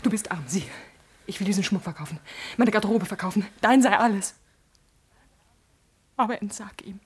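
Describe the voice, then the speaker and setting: A jealous, imperious noblewoman in a classical radio play. Her voice has a husky edge at a middle pitch. She declaims with theatrical passion and aristocratic pride. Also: moderate pace. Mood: desperate pleading. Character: proud, jealous noblewoman, a passionate prince's mistress. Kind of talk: classical German radio drama acting.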